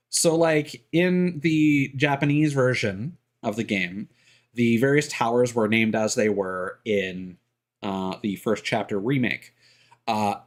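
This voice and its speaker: A man streaming a game, chatting casually to his audience with lots of ums and uhs. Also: mid-range voice. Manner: rambling, many fillers.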